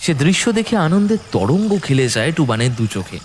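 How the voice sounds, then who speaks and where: A man narrating a scripted horror story in a dramatic, suspenseful way with deliberate phrasing. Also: fairly high pitch, sing-song, a little hiss or hum.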